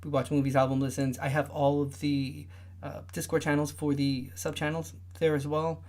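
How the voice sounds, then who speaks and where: A young man with a light, high voice that listeners often mistake for a woman's. He hosts a relaxed livestream, chatting loosely and filling gaps with lots of "uh"s and restarts. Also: moderate pace. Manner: rambling, many filler sounds and restarts. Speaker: livestream host. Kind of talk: casual livestream chat.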